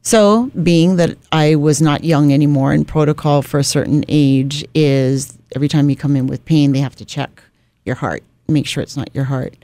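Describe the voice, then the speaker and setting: Mellow-voiced woman telling her story. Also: deep voice, steady tone, moderate pace, dry recording. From Hawaii. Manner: warm storytelling, often adds "you know". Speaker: radio executive and podcast guest. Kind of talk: casual interview chat. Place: small radio studio. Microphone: studio broadcast mic on boom arm.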